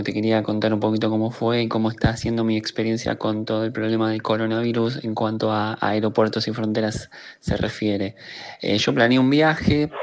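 An Argentine-accented man gives a casual personal account. His voice has plenty of creaky fry and sits at a medium pitch. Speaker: interviewee telling personal experience.

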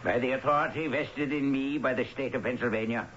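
A man's voice: medium pitch, steady tone, fairly quick, a bit bright, close to an everyday voice. An actor playing a stern courtroom judge in a radio drama, formal and imperious in his delivery. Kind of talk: old-time radio drama acting. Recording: dull, faintly noisy radio drama recording.

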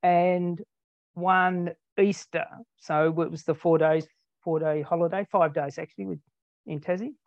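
A man's voice: a little high, steady tone, measured pace, vocal fry, no room sound. Older Australian man, guest, meandering and modest, dry muffled audio. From Tasmania, Australia. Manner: rambling, self-deprecating, many ums. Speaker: interview guest. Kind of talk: casual conversational storytelling. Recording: dull, dry lo-fi sound.

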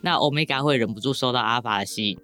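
Fast-talking Taiwanese woman, wry and rambling. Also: extremely low pitch, fairly bright tone, faint music behind. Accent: Taiwanese Mandarin. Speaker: podcast guest, novelist. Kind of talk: casual explanatory chat.

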